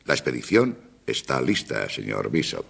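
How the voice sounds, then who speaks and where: An actor in a radio drama playing an authoritative, methodical doctor with a gravelly, husky voice and a formal, measured way of explaining. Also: male voice, very deep voice, big pitch swings, a bit bright, exaggerated voice. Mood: assured. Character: authoritative male doctor briefing a surgical expedition, calm, methodical.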